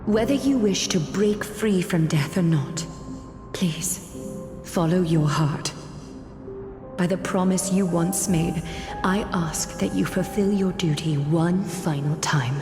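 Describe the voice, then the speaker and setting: An actress playing a solemn, tender woman in a video game, pleading gently and haltingly through a long ethereal reverb. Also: lowish voice. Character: solemn, tender woman making a final plea. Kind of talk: dramatic video game acting. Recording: roomy, long reverb over music.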